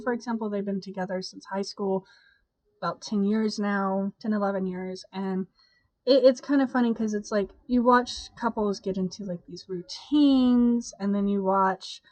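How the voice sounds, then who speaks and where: A young woman talks to her viewers in a loose, rambling way, her voice stuffy and nasal from a cold. She sits at a middling pitch, and the sound is close and dry with no room around it. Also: no echo, rough recording. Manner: chatty, rambling, with sighs and laughs. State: congested from a cold. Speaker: vlogger. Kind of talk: casual chat to camera.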